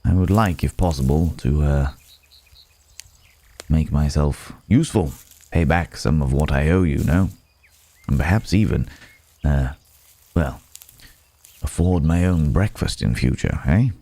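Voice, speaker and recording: A man's voice: a bass voice, unhurried, pauses often, mellow, full character voice. A man playing a courteous, guarded werewolf from the city in an intimate ASMR roleplay, speaking in hesitant, formal, polite phrases. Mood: grateful, gentle.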